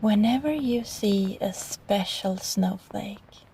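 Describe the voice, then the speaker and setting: A woman voicing a kind magical fox in a bedtime story, speaking softly, warmly and tenderly. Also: mid-range voice, slight background noise, poor audio. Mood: tender, reassuring. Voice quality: soft, warm, breathy. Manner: tender, reassuring, slow. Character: kind, gentle magical female kitsune fox.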